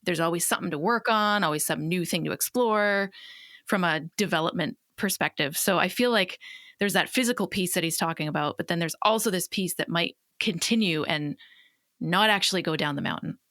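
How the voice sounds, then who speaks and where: A woman in her late thirties, hosting an interview podcast, listening attentively and telling a story clearly. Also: lowish voice, a little fast, fairly bright tone, dry recording, clean studio sound. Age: late 30s. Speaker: podcast host. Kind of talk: interview conversation.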